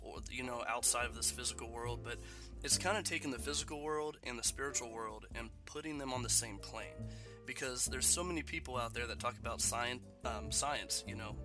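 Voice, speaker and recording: Man hosting a podcast, congested with a cold, chatty, mid pitch, music playing behind. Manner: chatty, laughs between points. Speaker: podcast host. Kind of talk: casual podcast interview.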